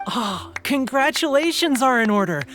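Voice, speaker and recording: A man's voice: very high voice, big pitch swings, moderate pace, bright, forceful tone, soft background music, heavily acted. A man playing a genial, respectful fortune-slip vendor in an anime video game. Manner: genial, deferential.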